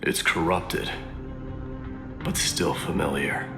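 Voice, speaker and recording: A man's voice: a bass voice, fairly even intonation, takes it slowly, full character voice. A man voicing a proud, sardonic warrior in a video game, speaking sternly and bluntly. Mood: grim. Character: gruff, proud young male warrior, sardonic rival. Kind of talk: video game character acting. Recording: echoey, music behind the voice.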